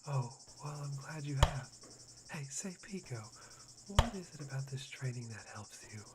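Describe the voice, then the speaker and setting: Someone acting a creepy whispery young guy, fawning and self-loathing, asmr roleplay, loud background noise. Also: medium pitch, animated, normal speed, natural voice. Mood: curious, self-deprecating. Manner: breathy, fawning, giggly, softly menacing. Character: unhinged, self-deprecating young man obsessed with hope.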